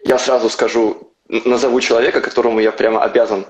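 A man's voice: medium pitch, little pitch movement, fast. A young man chatting casually as co-host of a live discussion about languages. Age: young adult. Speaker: polyglot co-host. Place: indoor room with plain walls, video call.

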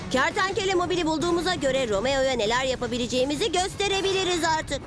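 A woman's voice: sits high, lively intonation, fast, over music. A woman voicing an earnest six-year-old boy superhero in a cartoon, plucky but anxious, speaking in exclamations. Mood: eager. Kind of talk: cartoon dub acting.

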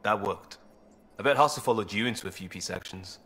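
A man's voice: lowish voice, fairly quick, lightly acted. A man playing an easygoing ex-cop crewman. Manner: relaxed, joking, reminiscing.

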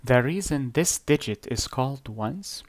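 Give me a man, an Arabic native speaker, explaining math lessons in an unhurried, deliberate, plain-spoken way. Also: medium pitch, lively intonation, no echo. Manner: slow, deliberate explaining with blunt asides. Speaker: math teacher. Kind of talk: screen-recorded whiteboard lesson.

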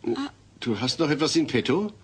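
An expressive, mild-mannered husband. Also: male voice, on the high side, a little fast, husky. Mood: wary. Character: mild middle-aged suburban husband, affectionate, easily flustered. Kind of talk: German audio drama dub acting.

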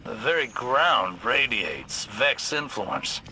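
An actor playing a solemn, cryptic scholar in a video game, speaking in a grave, formal, poetic way. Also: male voice, medium pitch, lively intonation, takes it slowly, full character voice, low-quality recording. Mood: grave wonder. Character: solemn, cryptic male scholar guiding soldiers. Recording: loud game noise and effects behind.

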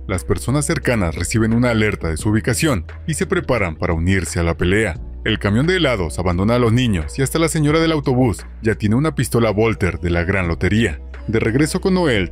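A man's voice: medium pitch, darkish tone. A man reading a scripted plot summary as a movie recap narrator, recorded as a close voice-over.

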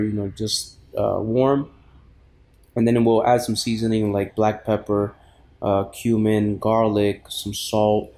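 A man's voice: fairly low pitch, mellow. A man giving an informal how-to cooking voice-over as a fitness vlogger, addressing viewers casually.

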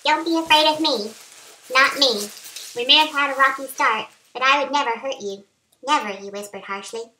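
A woman reads romance fiction aloud with a helium-affected voice. It is highish and sharply bright, with the roomy echo of a home recording. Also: a little hiss or hum. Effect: voice raised by helium. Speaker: author reading own novel. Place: small home office, indoors. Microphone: webcam at arm's length.

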